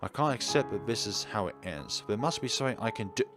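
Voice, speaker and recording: A man's voice: lowish voice, expressive, fast talker, over loud music, close to an everyday voice. A man reading an anguished, self-blaming teenage schoolboy who pleads and narrates his own story in a visual novel. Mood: despairing.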